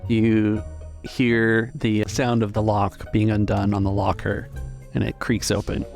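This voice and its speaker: Man, game master, tabletop narration, slightly deep, heavy vocal fry, music behind. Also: monotone, measured pace, darkish tone.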